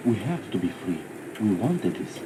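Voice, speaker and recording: An actor playing a solemn, indignant political speaker giving a deliberate, declamatory broadcast address in a game. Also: male voice, fairly low pitch, big pitch swings, background noise. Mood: grave, concerned. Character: grave, indignant political speaker denouncing the government.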